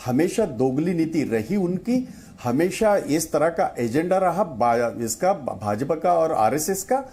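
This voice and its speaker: A man speaking as a panelist in a televised political debate, slow and hesitant, with many pauses and fillers. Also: fairly high pitch, animated, mellow, slight background noise.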